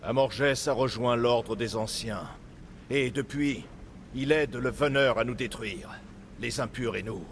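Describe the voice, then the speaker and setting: Actor as a grieving, mid-pitched warrior. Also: male voice, measured pace, slight background noise, close to an everyday voice. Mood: bitter, sorrowful. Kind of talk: dramatic video game acting, French dub.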